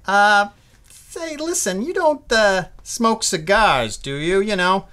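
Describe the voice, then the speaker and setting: A man's voice: high voice, expressive, takes it slowly, pushed and bright, exaggerated voice. An actor playing a nervous, polite young sound-effects man in an old-time radio play, stammering and hesitant. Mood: awkward, nervous. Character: nervous young male Foley artist, polite newcomer.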